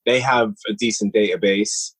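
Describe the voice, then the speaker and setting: A man's voice: fairly low pitch, fairly even intonation, normal speed, a bit bright, breathy edge. A man speaking casually as an interview guest on a podcast, an audio drama writer who repeats phrases for emphasis.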